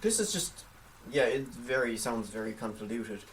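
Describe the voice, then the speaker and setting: A man's voice: medium pitch, lively intonation, normal speed, slight background noise. A man co-hosting a casual film chat podcast, hesitant and often repeating words as he forms his point. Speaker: podcast co-host. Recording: voice slightly distant from microphone.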